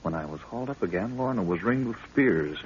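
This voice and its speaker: A man's voice: lowish voice, animated, a little fast, lightly acted. A man playing an earnest, respectful, anxious young assistant in a 1930s radio adventure. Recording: muffled, noisy old 1930s radio recording.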